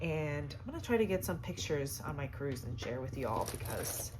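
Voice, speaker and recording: A woman hosting a sewing tutorial, chatty and upbeat with lots of fillers, her voice hoarse and cutting in and out. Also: sits low, big pitch swings, moderate pace, noisy background. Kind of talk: casual how-to demonstration.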